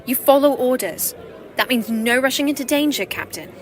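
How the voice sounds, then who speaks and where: Female agent in charge, played by an actress, higher register, crisp authority, audio-drama style. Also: animated, normal speed. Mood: stern. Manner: firm, authoritative. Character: brisk, commanding woman military agent.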